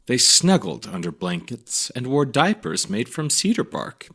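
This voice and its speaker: A man reading a scripted educational story for children in a measured, clearly phrased read-aloud manner. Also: mid-range voice, very animated, a bit bright. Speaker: narrator reading a children's magazine article.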